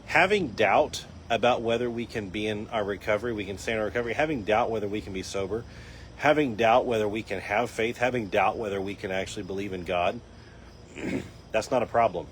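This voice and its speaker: A man hosting a live faith and recovery talk, his voice a little raspy, explaining in an earnest teaching tone with frequent restarts. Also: fairly low pitch, brisk, slight background noise. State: raspy voice from dryness. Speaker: host. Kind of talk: casual talk on a live stream.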